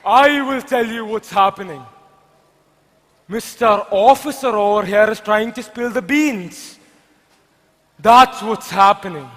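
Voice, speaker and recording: A man plays a gloating criminal mastermind with an Indian accent. He is slow and pompous, savouring his taunts in a piercingly high voice. The stage-drama delivery echoes around a large hall. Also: fairly bright tone. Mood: smug, taunting. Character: gloating male criminal mastermind, arrogant puppeteer villain. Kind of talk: amateur stage drama dialogue. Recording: echoey hall, long reverb.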